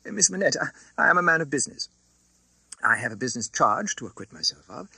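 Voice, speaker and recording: A male reader plays an elderly gentleman banker from a classic novel audiobook. He is fussy and kind, stammering through formal business talk. His voice is bright and animated, with some rasp, recorded close and dry. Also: mid-range voice, fast, dry recording, heavily acted, poor audio. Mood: flustered, businesslike. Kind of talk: dramatised audiobook reading.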